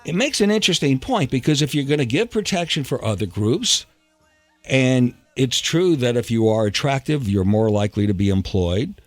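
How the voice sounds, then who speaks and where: A man's voice: mid-range voice, expressive, normal speed, no echo. Male talk show host, conversational interviewer, dry studio mic with light music bed.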